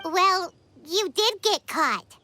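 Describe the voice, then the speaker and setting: A woman voicing a tiny, childlike, cheeky fairy companion with a squeaky voice in a video game. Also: lively intonation, drawn-out words, bright, forceful tone, a little hiss or hum, exaggerated voice. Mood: cheeky, questioning. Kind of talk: English video game voice acting.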